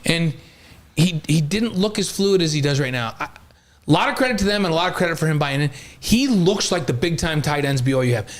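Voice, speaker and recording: Male co-host for sports talk, fairly high-pitched voice, casual conversational delivery. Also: lively intonation, fairly quick.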